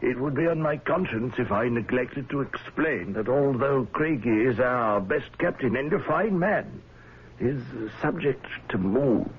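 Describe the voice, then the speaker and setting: An actor playing a gruff but genial middle-aged whaling fleet owner in a radio drama, avuncular and a little hesitant. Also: male voice, mid-range voice, animated, unhurried, a little hiss or hum, lightly acted. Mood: uneasy, confiding. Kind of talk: old-time radio drama acting. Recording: dull, lo-fi old radio broadcast.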